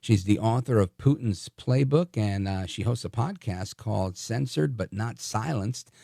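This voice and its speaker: A man's voice: lowish voice, unhurried, creaky, dark tone, dry recording, clean studio sound. A man hosting a live radio talk show, interviewing in a conversational, self-deprecating manner.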